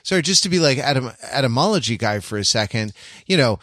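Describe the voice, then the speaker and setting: A man co-hosting a podcast in casual discussion, halting and often interrupting himself as he works through a thought. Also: medium pitch, lively intonation, fairly bright tone, no echo, studio quality. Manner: rambling, halting, self-interrupting. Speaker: podcast co-host.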